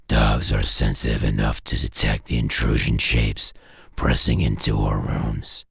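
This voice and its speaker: A man narrating a scripted horror story in an ominous, deliberate way, with a gravelly, husky voice and short clipped phrases. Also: very deep voice, no echo, studio quality. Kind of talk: scripted analog horror narration, second-person.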